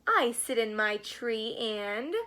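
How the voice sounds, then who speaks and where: A woman's voice: a little high, slow pace, sharp tone. A woman reading a storybook, voicing a wise owl that is easily angered.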